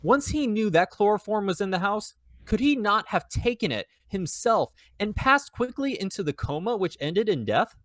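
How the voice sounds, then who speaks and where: A man's voice: high-pitched, sing-song, moderate pace, a bit bright, no room sound. Man as earnest defence counsel, formal, persuasive, very varied intonation, dry sound, radio drama. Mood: pleading. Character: earnest, pleading defending counsel addressing court and jury. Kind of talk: old-time radio drama acting.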